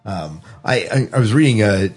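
A man's voice: fairly low pitch, animated, normal speed, husky. A man hosting a podcast, talking casually about film in a conversational discussion. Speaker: podcast host.

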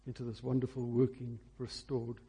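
A man's voice: mid-range voice, completely flat delivery, normal speed, vocal fry, mellow, breathy edge. A man preaching a sermon, phrasing emphatically and repeating key words for effect.

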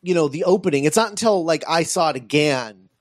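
A man co-hosting a film podcast in casual chat, thinking aloud in a halting way. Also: on the high side, animated, no room sound, studio quality. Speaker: podcast co-host.

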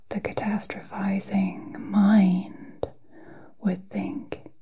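Very slow whispering ASMR woman. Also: medium pitch. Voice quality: breathy, soft whisper. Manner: slow, soothing, halting delivery with long pauses. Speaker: ASMR channel host and meditation guide. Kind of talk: whispered ASMR guided meditation.

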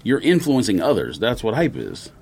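A man's voice: on the low side, huge pitch swings, normal speed, a little mellow, slightly rough. An American man on a podcast panel, debating casually in a blunt, argumentative manner.

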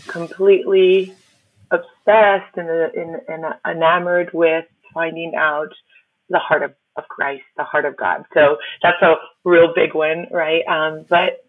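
A woman's voice: deep voice, dry recording. Woman on a tinny line. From Miami, USA. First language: Spanish. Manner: reflective, laughs often, halting with fillers. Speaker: podcast guest. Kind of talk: conversational interview.

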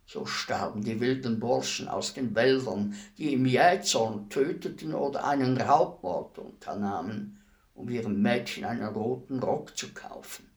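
A gravelly old-school hangman in a radio drama, really slow n brooding, wistful, kinda respectful. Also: medium pitch. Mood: proud, nostalgic. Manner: deliberate, brooding, formally addressing a lady. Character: world-weary executioner, wistful, respectful, philosophical about dying. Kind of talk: radio play acting, slow reflective monologue.

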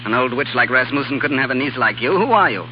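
Man acting brash, lively 1930s radio sculptor. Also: medium pitch, fast talker, natural voice. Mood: brash, flirtatious. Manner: impulsive, stammering when flustered, bossy. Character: brash, eager, flirtatious bohemian male sculptor. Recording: muffled, noisy 1930s radio recording.

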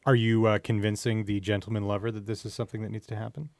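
A man's voice: on the low side, level tone, fast, a little mellow, no echo. Playful male podcast host, dry close sound. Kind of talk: casual interview with quick-fire questions.

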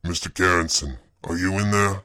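Man voicing a gruff, deep, insistent enforcer. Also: completely flat delivery, moderate pace, bright, forceful tone, dry recording, clean studio sound. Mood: insistent, menacing. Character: gruff deep-voiced male enforcer at the door.

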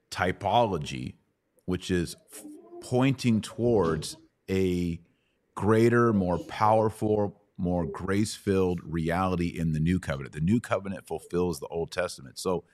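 A middle-aged man with a low-pitched voice hosts a live Bible Q&A podcast. He teaches in a conversational, didactic way and puts questions to his listeners as he explains. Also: darkish tone. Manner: didactic, asks checking questions.

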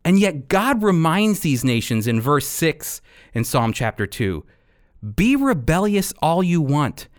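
A man delivers a Bible teaching with a lively, swooping pitch. He speaks emphatically and deliberately, pausing for dramatic weight as he moves between reading scripture and explaining it. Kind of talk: expository sermon with scripture readings.

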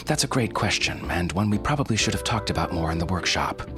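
A man's voice: low-pitched, animated, fast talker, music in the background. A man hosting a podcast, reading scripted narration and listener questions. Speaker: podcast host.

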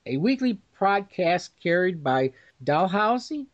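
Formal podcast host, higher-pitched man, slightly dull sound. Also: very animated, measured pace. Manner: formal, courteous. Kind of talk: moderated roundtable discussion.

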